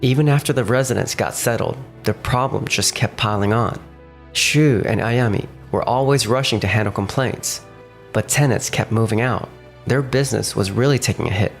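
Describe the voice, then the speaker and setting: A man voicing a calm, firm young manager. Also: mid-range voice, normal speed, gravelly, close to an everyday voice. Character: calm, businesslike young male realty manager. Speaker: narrator and lead voice actor. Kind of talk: fan manga dub. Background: faint music.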